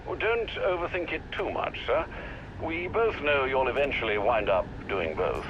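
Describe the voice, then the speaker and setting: A man's voice: mid-range voice, animated, normal speed, lo-fi sound, background noise, close to an everyday voice. An actor playing an older male butler in a video game, formal and courteous with a dry, wry manner. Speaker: hero's butler. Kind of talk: scripted game cutscene acting. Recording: loud music under the voice. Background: loud music.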